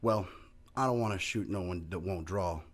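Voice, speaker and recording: Man acting Old West bully, very low voice, taunting, bluffing, radio play. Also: normal speed. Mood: taunting, menacing. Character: taunting, cowardly male Old West gunslinger.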